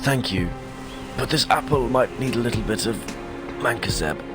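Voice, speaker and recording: A man voicing a composed, gentlemanly talking apple in a video game, formal and understated. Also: medium pitch, animated, moderate pace, over music, close to an everyday voice. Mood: ailing, polite.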